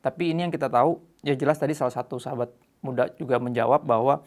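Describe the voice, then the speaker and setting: A man's voice: medium pitch, fast, a little mellow. An Indonesian man hosting a casual youth talk show, mixing English phrases into his speech. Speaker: host.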